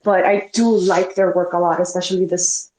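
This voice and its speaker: A woman's voice: on the low side, steady tone, normal speed, a little mellow, slight background noise. Stuffy-nosed woman in an echoey room. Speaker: guest artist. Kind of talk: casual chat. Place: live online audio call from New York. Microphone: headset. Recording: roomy, echoing online call.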